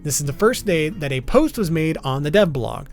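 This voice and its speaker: Higher-pitched man narrating, lively intonation. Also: normal speed. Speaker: video essay narrator. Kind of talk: informal scripted commentary on a list of topics. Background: background music.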